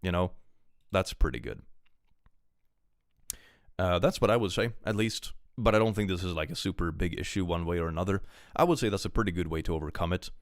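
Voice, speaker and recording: A young man streaming live with a powerful voice, reading posts aloud and chatting casually, often jumping into excited exclamations. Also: fairly quick, pauses often, no room sound, studio quality. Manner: animated, jumps into high excited exclamations.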